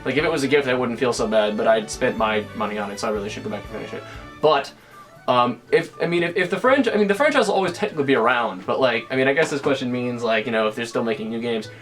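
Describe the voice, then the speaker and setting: A man's voice: mid-range voice, expressive, fast talker, fairly bright tone, faint music behind. A nineteen-year-old man talking casually to camera in a vlog, rambling with many restarts and fillers. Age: young adult. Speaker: YouTuber.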